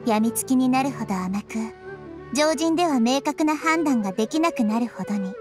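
A woman voicing a gentle, wise child-like girl deity in a Japanese anime game, measured and reflective. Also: high-pitched, animated, over music. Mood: thoughtful, earnest. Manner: measured, soft-spoken, reflective.

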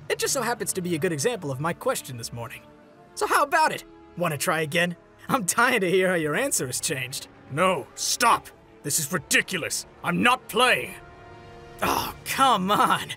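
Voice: mid-range voice, big pitch swings, moderate pace, pushed and bright. A performer voicing a smug, sarcastic, petty young trickster in a comic dub, taunting and theatrical, over music. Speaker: voice actor in a fan comic dub. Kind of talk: animated comic dub acting.

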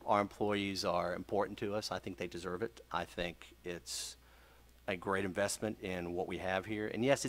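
A man's voice: fairly low pitch, a bit bright. A man speaking as a city official, giving formal remarks at a public meeting into a desk microphone. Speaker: city manager. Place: council chamber.

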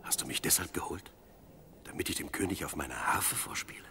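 An actor playing a modest young shepherd musician, polite and earnest, in a Bible audio drama. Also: male voice, extremely low pitch, a little fast, pushed and bright, whispered, soft background music, heavily acted. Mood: quiet, willing. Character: young shepherd harpist, modest, eager to please.

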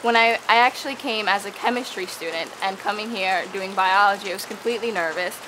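A young woman from the Dominican Republic, a student researcher, talking eagerly to camera in a casual interview outdoors among tropical plants. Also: medium pitch. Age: young adult. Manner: eager, bubbly, quick restarts. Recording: steady rain noise behind.

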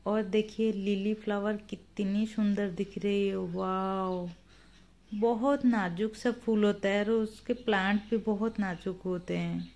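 A woman's voice: medium pitch, fairly even intonation, takes it slowly, a little mellow. A woman giving a casual tour of her home garden, chatting unhurriedly while filming her plants on a phone outdoors. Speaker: gardening vlogger.

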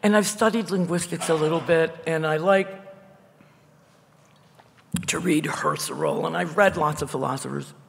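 An older woman painter with a loud voice, talking bluntly and wryly as the guest at a public conversation. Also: low voice, normal speed, vocal fry, pauses often, slight background noise. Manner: blunt, wry and digressive. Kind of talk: candid conversational talk at a public event. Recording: echoey hall.

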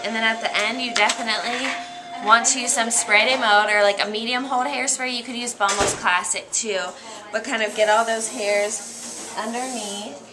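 A woman's voice: a little high, fairly even intonation, normal speed, background noise. A young American woman giving a casual how-to demonstration as a hair stylist, in an informal, loosely phrased, easygoing manner. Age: young adult. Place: hair salon, indoors. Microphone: camera mic a short distance away. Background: music.

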